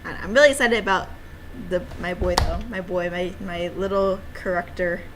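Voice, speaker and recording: A woman's voice: fairly low pitch, moderate pace. A woman streamer talking in a casual, rambling livestream style, playful and given to cutesy little exclamations. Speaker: streamer and amateur game developer. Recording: clear background noise.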